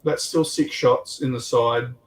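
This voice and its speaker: A man's voice: medium pitch, steady tone, a little slow, recorded from a distance. A man on a hobby livestream panel, commenting casually on a tabletop game and thinking aloud in a hesitant, rambling way.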